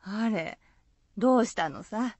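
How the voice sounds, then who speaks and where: An actress playing a lively, teasing peasant wife in a radio folktale, with exclamatory country speech. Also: female voice, mid-range voice, takes it slowly, husky, dry recording. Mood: surprised. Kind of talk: radio drama acting.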